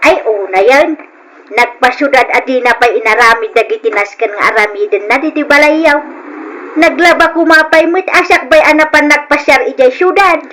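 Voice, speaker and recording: A nagging older mother-in-law in an old-style radio drama. Her voice is high and piercingly bright, full of complaint and protective fussing over her son. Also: normal speed, a little hiss or hum, rough recording. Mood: indignant.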